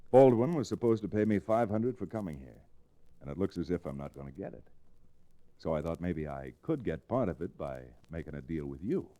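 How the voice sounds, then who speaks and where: An actor playing a confident, educated American Western hero in an old-time radio drama, assured and plain-spoken. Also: male voice, low voice, very animated, a little fast, warm tone. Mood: calm, bargaining. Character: confident, educated Western lawyer-rancher hero, shrewd and unflappable. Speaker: radio actor, lead and narrator. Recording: restored vintage radio recording.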